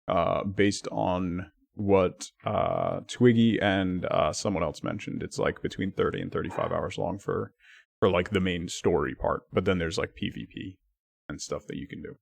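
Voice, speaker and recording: A man streaming live, chatting casually with his viewers and thanking them by name, his talk full of lively swings up and down. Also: low voice, normal speed, vocal fry.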